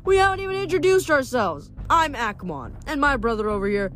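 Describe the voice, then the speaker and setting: Woman putting on a gleeful, high-pitched mischievous dwarf thief. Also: very animated, brisk, fairly bright tone. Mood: teasing. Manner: teasing, gleeful. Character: mischievous, taunting male dwarf thief with brown fur.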